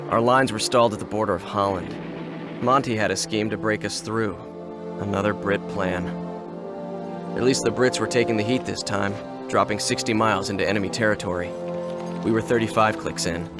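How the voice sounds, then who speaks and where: A man's voice: lowish voice, normal speed, lightly acted. A man voicing a weary WWII paratrooper narrator. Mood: reflective. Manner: plainspoken, wry, weary. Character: American WWII paratrooper recalling the war. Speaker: soldier narrator. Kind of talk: game cutscene narration. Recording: over loud music.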